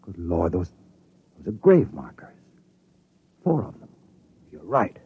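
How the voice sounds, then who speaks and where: A thin, tinny old broadcast carries a wary, questioning doctor. His voice is low, a little rough, and swings expressively in clipped radio drama phrases. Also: very animated, slow, mellow, no room sound, full character voice. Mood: uneasy. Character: uneasy, inquisitive doctor on a space crew. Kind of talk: classic radio drama acting.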